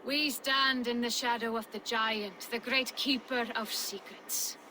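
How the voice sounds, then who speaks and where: A woman voicing a slow, cryptic seer. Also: fairly high pitch, fairly even intonation, noisy background, lightly acted. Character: solemn mystical female seer of the clan. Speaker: volva. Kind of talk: video game cutscene acting.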